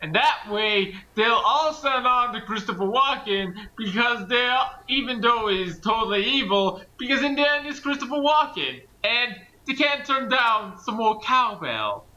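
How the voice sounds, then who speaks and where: A man's voice: very high-pitched, expressive, unhurried, hardly any pauses, bright, forceful tone, muffled recording. A man co-hosting a commentary, chatting in a casual, rambling way.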